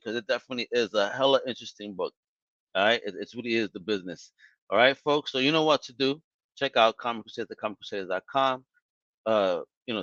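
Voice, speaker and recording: Bright, slangy podcast host man. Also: mid-range voice, no room sound, dull audio. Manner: animated, stammering restarts, slangy. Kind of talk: casual interview wrap-up.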